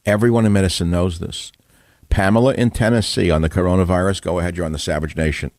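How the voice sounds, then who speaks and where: Brief: low-voiced male radio host, forceful rambling delivery, dry booth sound. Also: fairly even intonation, quick pace, dry recording. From New York. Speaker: radio talk show host. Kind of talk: political talk radio.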